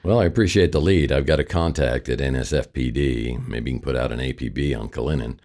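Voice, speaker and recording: A man playing a world-weary, wisecracking private detective in a noir radio drama, with a wry deadpan delivery. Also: extremely low pitch, quick pace, in a large hall. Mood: dry, businesslike. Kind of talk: noir radio drama, first-person narration and dialogue.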